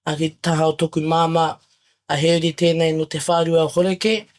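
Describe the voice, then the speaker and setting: A young man from New Zealand giving sincere, measured interview answers with some hesitations. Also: on the high side, flat delivery. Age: young adult. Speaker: youth guest.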